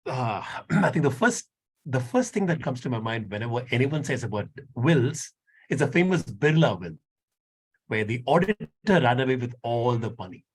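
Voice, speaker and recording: Husky man with a slight stammer. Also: medium pitch, very animated, moderate pace, low-quality recording. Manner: candid, lightly humorous. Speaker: impromptu speaker. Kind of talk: impromptu speech.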